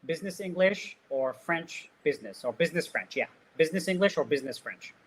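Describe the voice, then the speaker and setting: A man's voice: on the high side, expressive, fairly quick, rough recording. Man interviewing, faint noise behind. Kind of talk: casual interview questioning.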